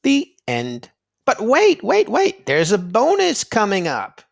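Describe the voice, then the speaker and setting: A man reading aloud to children in an expressive storybook style, dramatic and emphatic, drawing out words for effect. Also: high voice, takes it slowly, vocal fry. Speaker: children's read-aloud narrator and quiz host.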